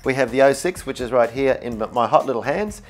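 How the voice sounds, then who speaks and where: A man's voice: mid-range voice, animated, quick pace. A man presenting a product demonstration in an upbeat, enthusiastic way. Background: music, demonstrated keyboard sounds.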